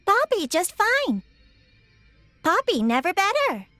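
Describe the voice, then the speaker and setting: An earnest little android girl for a game. She speaks slowly in a high, dry voice, with literal, broken grammar. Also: huge pitch swings, sharp tone, dry recording, soft background music, exaggerated voice. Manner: broken grammar, refers to herself in third person. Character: small artificial girl robot, earnest and literal.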